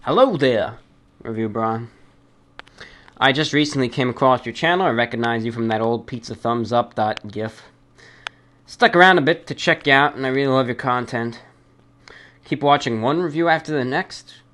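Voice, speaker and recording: Male host, medium pitch, casual mail-reading and commentary, halting and deliberate delivery with repeated phrases. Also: fairly bright tone. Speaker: host of a listener-mail show.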